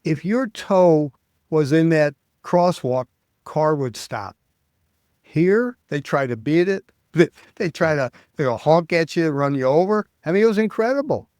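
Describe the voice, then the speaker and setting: An older American man, a public speaking coach, chatting as an interview guest and telling stories with lively quoted voices. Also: fairly high pitch, measured pace, clear, resonant tone, dry recording, clean studio sound. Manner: animated storyteller, acts out quoted voices. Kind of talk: casual interview chat.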